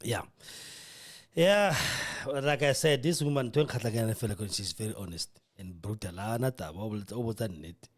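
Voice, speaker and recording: A South African man whose first language is Setswana, hosting a radio show in casual, animated commentary that mixes English with Setswana. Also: on the low side, normal speed. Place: radio studio.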